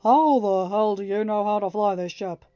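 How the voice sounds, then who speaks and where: A woman voicing a brash, irritable male starship captain, slow and gruff. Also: mid-range voice, warm tone, dry recording, highs cut off, close to an everyday voice. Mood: irritated.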